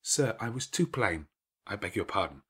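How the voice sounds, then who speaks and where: Guy reading as young governess, polite but frank, composed, old novel style, bit husky. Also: fairly low pitch, sing-song, normal speed, heavily acted. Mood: frank, apologetic. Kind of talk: dramatic audiobook character dialogue.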